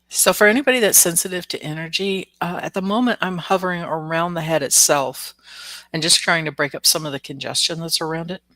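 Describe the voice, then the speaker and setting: A woman's voice: lowish voice, fairly quick, a bit bright, no echo. A woman hosting a live stream, chatting casually with viewers and greeting them by name. She sounds stuffed up and nasal from the flu. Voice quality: nasal, congested. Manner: chatty, greets commenters by name, laughs often. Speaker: live stream host, oracle card reader.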